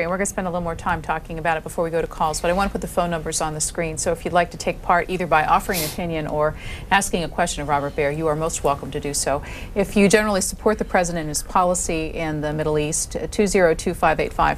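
A woman hosting a televised call-in interview, asking questions in a deliberate, clear way. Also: low voice, fairly even intonation, a little hiss or hum. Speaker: call-in show host.

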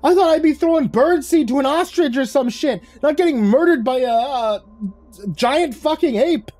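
A man's voice: animated, takes it slowly, dark tone, slightly rough, faint music behind, exaggerated voice. Jittery young guy, stammering, swearing, voice jumps way up, played by a male narrator. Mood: screeching. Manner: stammering, swearing, high-pitched panic. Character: panicky young man, jittery new hire.

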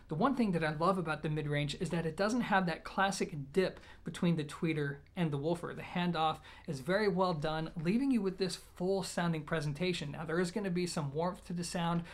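A man in his thirties giving a product review to camera in a measured, organized way. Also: fairly high pitch. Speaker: audio gear reviewer. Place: small plain indoor room.

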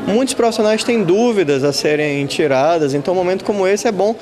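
A man in his forties being interviewed by a reporter on a handheld mic, explaining in a measured way in an auditorium. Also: high voice, lively intonation, music in the background. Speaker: lecturer interviewed. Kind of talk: news interview. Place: school auditorium with an audience.

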